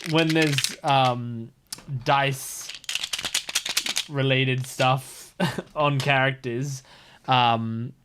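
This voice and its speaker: A man's voice: medium pitch, fairly even intonation, drawn-out words, long pauses, noisy background. A man hosting a reaction video, giving casual, gushing and enthusiastic commentary.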